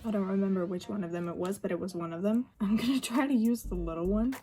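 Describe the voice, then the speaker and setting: A woman's voice: medium pitch, fast, noisy background. A young woman from Sweden, a native Swedish speaker, chatting casually in English while getting ready, with lots of fillers and laughs. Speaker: vlogger. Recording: faint music behind.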